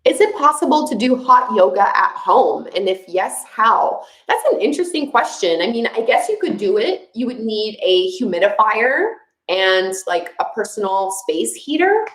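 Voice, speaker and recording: A woman's voice: medium pitch, sing-song, moderate pace, a bit bright, reverberant, low-quality recording. A young woman from Quebec, a French speaker with a Quebec French accent, hosting a casual live chat as a yoga instructor, chatty, with frequent fillers and laughs. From Quebec, Canada. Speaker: yoga instructor, channel host. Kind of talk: live chat answering viewer questions.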